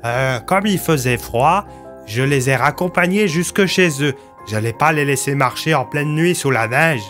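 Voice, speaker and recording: A man's voice: on the high side, expressive, normal speed, fairly bright tone, faint music behind. A man voicing a naive, dim-witted, chatty police sergeant in an audio story, hesitant and guileless. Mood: hesitant, earnest.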